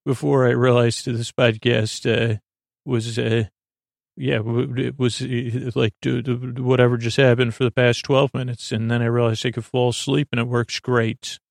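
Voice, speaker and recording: A man hosting a sleep podcast, rambling in a halting, meandering, improvised monologue with a gravelly creak. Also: mid-range voice, level tone, no echo, studio quality. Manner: meandering, halting, repeats and trails off. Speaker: podcast host.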